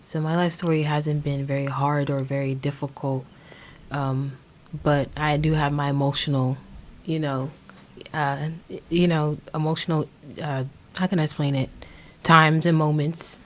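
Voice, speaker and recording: A woman's voice: low-pitched, level tone, measured pace, a little hiss or hum. An American woman in her twenties talking casually on a podcast, hesitant and searching for her words. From Indianapolis, USA. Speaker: podcast guest, young music artist. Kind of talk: casual conversational podcast introduction.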